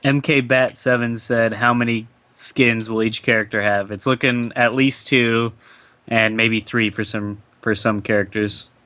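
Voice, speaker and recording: Man, co-host, mid pitch, bright, wry and teasing. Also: steady tone, a little slow, no echo. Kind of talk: casual game chat.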